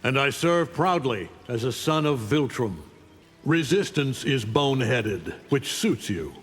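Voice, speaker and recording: An actor playing an arrogant, menacing alien superhero conqueror, imperious and taunting, in a fighting game. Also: male voice, medium pitch, animated, unhurried, breathy edge, long reverb tail. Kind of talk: fighting game character dialogue.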